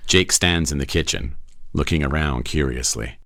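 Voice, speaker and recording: Very deep-voiced male narrator. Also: normal speed, a bit bright, dry recording, studio quality. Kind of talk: scripted narration of screenplay directions.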